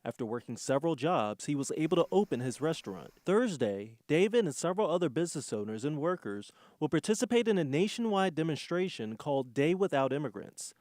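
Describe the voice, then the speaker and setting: A man's voice: a little high, very animated, brisk, hardly any pauses, a little mellow, dry recording. A man with an American accent reading scripted TV news as an anchor and reporter. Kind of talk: scripted broadcast news narration.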